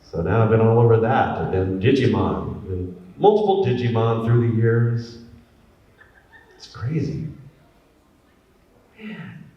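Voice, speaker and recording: A middle-aged man, a voice actor and former radio DJ, telling stories in a chatty, animated way at a convention panel. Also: medium pitch, slow pace, long pauses, highs cut off. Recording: very echoey hall from far off.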